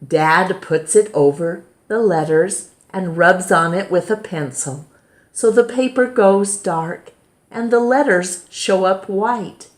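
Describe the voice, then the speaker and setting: A woman reading a children's picture book aloud in a measured, gently expressive way. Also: mid-range voice. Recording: roomy, echoing indoor sound.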